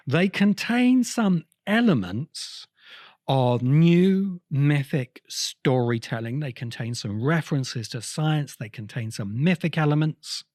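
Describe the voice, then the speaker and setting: A man hosting a podcast, explaining literary history in a deliberate, emphatic way with pauses between phrases. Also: on the high side, darkish tone, no room sound, clean studio sound. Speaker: podcast host.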